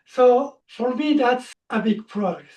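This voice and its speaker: Reflective non-native English-speaking male coach in his 40s, mellow tone, sits back from the mic. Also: very high-pitched, slow pace, distant mic. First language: not English. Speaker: social anxiety coach. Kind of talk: interview guest, personal story.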